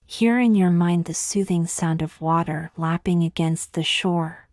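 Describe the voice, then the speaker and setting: Female meditation guide: lowish voice, very unhurried, calm delivery, close dry sound. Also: no room sound. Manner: calm, unhurried, gently measured with long pauses. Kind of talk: guided meditation, scripted soothing narration.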